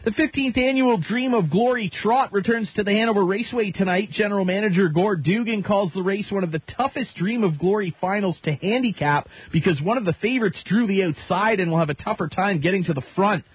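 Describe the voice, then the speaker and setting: Canadian guy anchoring radio news, high husky voice, dry but kinda dull sound. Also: brisk, hardly any pauses, no room sound. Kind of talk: scripted news reading. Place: radio studio.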